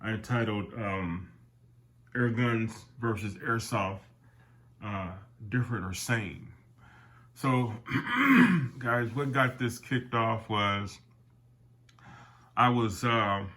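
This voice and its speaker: A man's voice: lowish voice, sharp tone. An older man with a nasal voice from a summer cold, hosting his airgun collecting channel in a rambling casual talk to viewers. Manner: rambling, with many fillers and restarts, calls viewers "guys". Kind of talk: casual product talk to camera.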